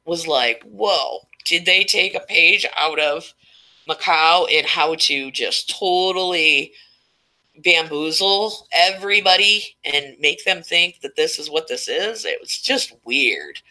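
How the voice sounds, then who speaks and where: A woman's voice: deep voice, takes it slowly, pushed and bright, low-quality recording. A woman hosting a casual live stream, chatty and rambling, stuffed up and losing her voice. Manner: rambling, chatty, reading chat names aloud.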